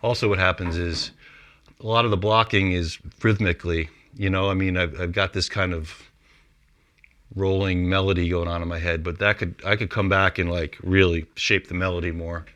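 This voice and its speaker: A man's voice: deep voice, steady tone, normal speed, music in the background. A man who works as a composer casually explains his songwriting, thinking aloud in an informal way. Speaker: composer and musician.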